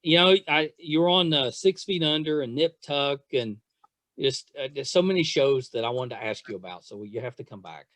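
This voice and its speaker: A man's voice: medium pitch, lively intonation, normal speed, a bit bright, dry recording. A man hosting a casual interview podcast, chatting in an effusive, rambling, self-deprecating way. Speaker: podcast host.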